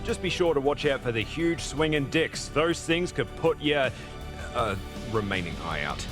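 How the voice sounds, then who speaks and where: A roguish male space captain from a video game, acted by a man. His mid-pitched voice swings in lively, glib arcs, wry and flirtatious, with music clearly playing behind him. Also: moderate pace, close to an everyday voice. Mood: glib, teasing.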